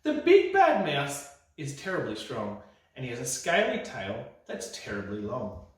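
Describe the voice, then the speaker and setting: A man's voice: medium pitch, wildly swooping pitch, measured pace. A man voicing a grave father monster warning his child, in a dramatic, ominous storybook style. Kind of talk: children's storybook read-aloud. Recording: echoey room, distant mic.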